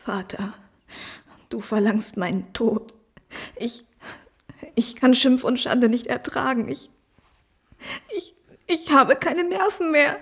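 A very high female voice plays a betrayed young wife in a radio drama. She is distraught and halting, repeating herself in anguish as she pleads. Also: breathy edge, exaggerated voice. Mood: anguished, despairing.

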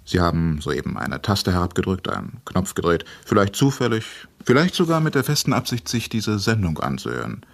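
Enigmatic radio announcer, deep voice, swooping intonation, theatrical, deliberate, vintage radio drama. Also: male voice, sing-song, gravelly, exaggerated voice. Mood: insinuating, suspenseful. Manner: deliberate, theatrical, pausing delivery. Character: mysterious radio announcer addressing the listener directly.

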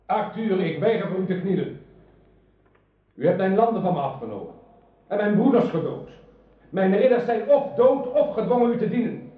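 An actor playing a proud, bitter knight in a radio drama, speaking in formal, indignant declamation. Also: male voice, high voice, lively intonation, moderate pace, long pauses. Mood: defiant. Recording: very echoey, distant, tinny old radio play.

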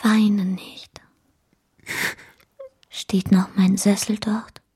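A young wife on her deathbed in a radio drama. Her voice is barely there, all breath and whisper, and she speaks slowly, tender and resigned to her fate. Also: medium pitch, level tone, husky, exaggerated voice. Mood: loving, weak. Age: young adult. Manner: faint, slow, gentle. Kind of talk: German radio play acting.

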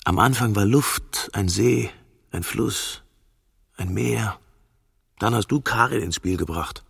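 An actor playing a weary, stubborn middle-aged husband in a radio drama, husky and creaky, terse and sardonic. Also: male voice, lowish voice, slow, fairly bright tone, no room sound, clean studio sound, full character voice. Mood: wistful, stubborn. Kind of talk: intimate modern radio drama dialogue.

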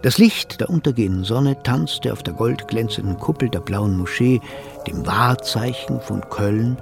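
An actor in a radio play playing a polite, sceptical male psychotherapist who speaks in a measured, pedantic, formal way. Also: mid-range voice, vocal fry, over music, close to an everyday voice. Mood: reflective narration. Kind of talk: German radio drama dialogue and narration.

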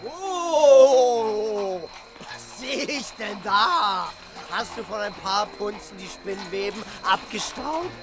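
An actor playing a leering, fawning male hanger-on in a German radio drama, smirking and drawn-out. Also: sits high, animated, music in the background.

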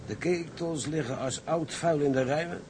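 A man's voice: moderate pace, close to an everyday voice. An actor playing a gloomy, sceptical seaman in a Dutch radio play, doubting and argumentative. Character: sceptical seaman, gloomy about a wreck salvage job. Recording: noisy rumbling background.

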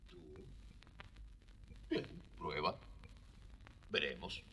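A stern, sceptical courtier speaks low and slowly, with swooping intonation. It sounds somewhat distant, in theatrical storybook style. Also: male voice, animated, sharp tone, a little hiss or hum, heavily acted. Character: stern, sceptical royal courtier in fairy tale. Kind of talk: theatrical children's story record acting.

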